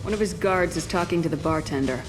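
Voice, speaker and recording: A woman's voice: lowish voice, fast talker, close to an everyday voice. A woman playing a confident space soldier in a video game, terse, assured and businesslike. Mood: focused. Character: confident, brisk female space soldier on surveillance.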